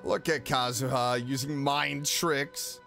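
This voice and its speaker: A man giving casual, animated reaction commentary over a video game as the host. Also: medium pitch, slow pace, gravelly, music in the background. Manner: animated, exclaiming.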